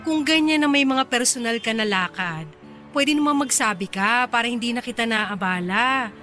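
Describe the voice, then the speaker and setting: An actress playing a proud, easily irritated but bashful young office boss in a Filipino radio drama, with a Filipino accent. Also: female voice, fairly high pitch, moderate pace, bright, forceful tone, soft background music. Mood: scolding, fretful. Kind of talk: radio drama acting in Taglish.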